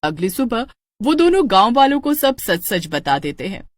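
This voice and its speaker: A woman's voice: on the high side, big pitch swings, normal speed, no echo, studio quality. A woman giving scripted narration for a cartoon story.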